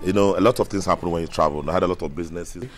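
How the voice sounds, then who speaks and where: An actor playing an evasive, apologetic two-timing husband who mumbles and stammers in a film melodrama. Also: male voice, low-pitched, fairly even intonation, rapid-fire, over loud music, natural voice. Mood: flustered, pleading.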